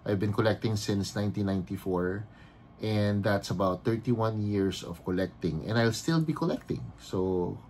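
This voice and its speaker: A man in his fifties with a Filipino accent, chatting casually in his vlog and mixing Tagalog into his English with frequent pauses and fillers. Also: on the low side, a little mellow, a little hiss or hum. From Philippines. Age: 50s. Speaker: vlogger and card collector.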